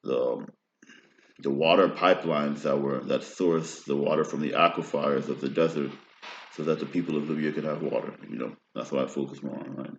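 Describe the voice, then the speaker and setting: A man's voice: a bass voice, fairly even intonation, fairly quick, gravelly, slight background noise. A man in his thirties with an American accent, talking in a meandering personal monologue and often checking in with "right?". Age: 30s. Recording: roomy, dull lo-fi recording.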